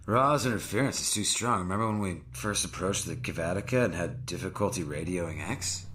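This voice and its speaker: Male, injured sci-fi security officer, lowish voice, pained and halting but dry-witted, noisy backdrop. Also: a bit bright. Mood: wry, doubtful. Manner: halting, wry. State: in pain, strained. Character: wounded male security officer, wry, stoic and weary. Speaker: actor in audio drama. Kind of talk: science fiction audio drama acting.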